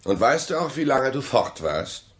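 An actor in a German radio play voicing an evasive, mistrustful husband with dry sarcasm and a clipped, deliberate delivery. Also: male voice, mid-range voice, big pitch swings, husky. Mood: dry, skeptical.

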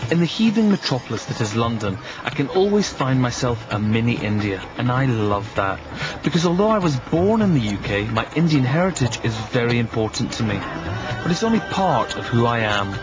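A Scottish man delivers documentary voice-over with a wry, self-mocking edge and a lively, bouncing pitch. Music plays clearly beneath him, and the sound is slightly dull. His tone stays dry and knowing. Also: brisk. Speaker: documentary narrator.

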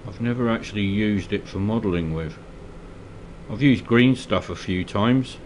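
A man's voice: lowish voice, moderate pace, slight background noise. A man from Scotland with a slight cold, casually talking through a miniature painting tutorial at his hobby desk, hesitant with many fillers. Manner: relaxed, hesitant, many ums and uhs.

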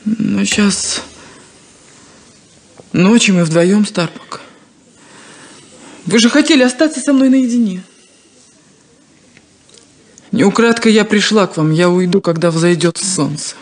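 A woman's voice: mid-range voice, expressive, takes it slowly, pauses often. An actress playing a fragile, self-doubting lonely woman in a 1970s Soviet radio play, speaking emotionally and imploringly. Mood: tender, hurt.

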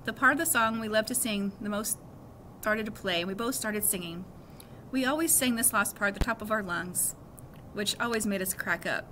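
A woman's voice: medium pitch, normal speed, background noise. A middle-aged woman reading a story aloud like a teacher, expressively, lifting her voice for characters' lines. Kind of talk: storybook read-aloud, narration with acted dialogue. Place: small cluttered school office, indoors.

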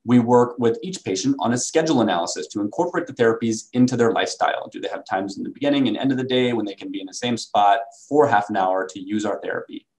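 A man with a fairly low voice presents on behalf of a medical device company. He talks through slides in a sales presentation style, speaking from an indoor video call setup. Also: fast, few pauses, a little mellow, poor audio.

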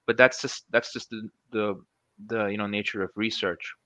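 A man's voice: on the low side, fairly even intonation, normal speed, bright, forceful tone, dry recording. A man in his thirties, a guest researcher on a video call interview, speaking thoughtfully with many restarts and fillers. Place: small room at home. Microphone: headset.

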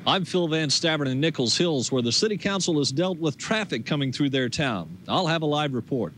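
Higher-pitched male live field reporter. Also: animated, fairly quick, a bit bright, slight background noise. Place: outdoors, town hall street. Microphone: handheld microphone.

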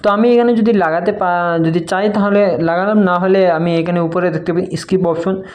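A man's voice: sits high, fairly even intonation, normal speed, a little mellow. A man from gives an informal step-by-step app tutorial, explaining repetitively and mixing in English tech terms. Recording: noisy home-made recording with clicks.

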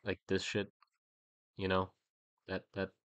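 A man's voice: low voice, completely flat delivery, slow, dry recording, highs cut off. A young man with a stuffy, slightly nasal voice, thinking aloud in a rambling, restarting way while he draws on a livestream. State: stuffy nose, a bit nasal. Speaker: artist streaming a creature design session. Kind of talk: casual livestream talk while drawing.

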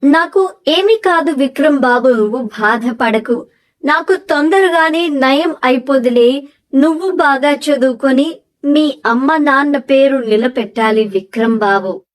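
A woman's voice: sits high, unhurried. A woman playing a kindly, frail old grandmother in a children's cartoon story, speaking in an affectionate, reassuring way. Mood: gently reassuring.

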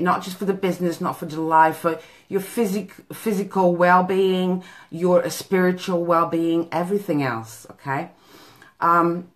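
A woman, coaching live over a phone camera in a small office, chatty, with many ums and "okay?" tags. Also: low-pitched. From Portugal. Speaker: business coach. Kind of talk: live video talk to a group. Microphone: phone camera at arm's length.

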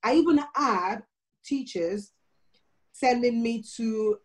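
A woman's voice: fairly high pitch, slow. Nigerian-accented woman telling a story. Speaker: panel guest. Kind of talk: video call panel discussion. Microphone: video call.

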